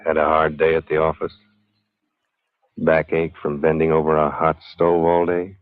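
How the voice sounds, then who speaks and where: A man's voice: rumbling low, monotone, normal speed. A man announcing an old radio show in an ominous, solemn and dramatic style. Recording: dull vintage radio recording under music.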